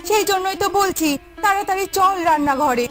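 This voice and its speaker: Woman doing bossy practical village wife for a cartoon, really high voice, music behind. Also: brisk. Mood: brisk. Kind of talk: cartoon voice acting.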